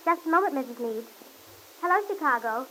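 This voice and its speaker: High-voiced, brisk telephone operator. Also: female voice, animated, sharp tone. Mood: businesslike. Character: brisk, formal female telephone switchboard operator. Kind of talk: old radio serial acting. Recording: noisy vintage radio recording.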